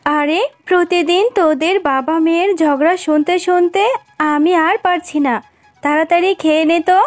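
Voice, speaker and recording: A woman voicing a caring, no-nonsense fox mother and wife in a children's cartoon, homely and brisk. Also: very high voice, steady tone, soft background music. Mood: exasperated. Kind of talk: Bengali children's cartoon dialogue.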